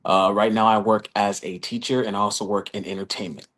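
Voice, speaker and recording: Earnest low-voiced male contestant. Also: fairly even intonation, a little fast, fairly bright tone. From Los Angeles, California. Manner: earnest, many um fillers. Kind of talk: casual live chat.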